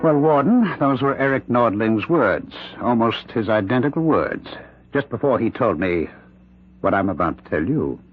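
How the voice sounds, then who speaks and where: Condemned professor who says he's possessed by a killer, halting n intense, husky, old radio drama, dull sound. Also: mid-range voice, animated, soft background music. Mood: pleading. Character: condemned male professor claiming a killer spirit possesses him. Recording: dull, band-limited radio drama recording.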